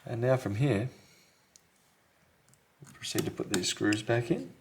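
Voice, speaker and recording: A man's voice: mid-range voice, lively intonation, unhurried, creaky, a little hiss or hum. A man talking casually and thinking aloud as he presents a hands-on repair tutorial. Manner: unscripted, thinking aloud while working. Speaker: repair technician, video host. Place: indoors at a repair workbench.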